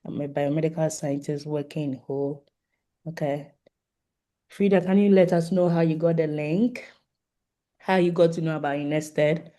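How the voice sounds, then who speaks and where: Online meeting host: soft mellow tone, polite and inviting, informal call moderation. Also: medium pitch, steady tone, moderate pace. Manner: polite, inviting participants to introduce themselves. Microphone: video call microphone.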